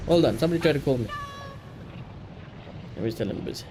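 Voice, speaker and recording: A man chatting casually on a livestream, reacting and ranting in an animated, blunt, sweary way. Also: medium pitch, fast talker, a little hiss or hum. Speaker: live streamer.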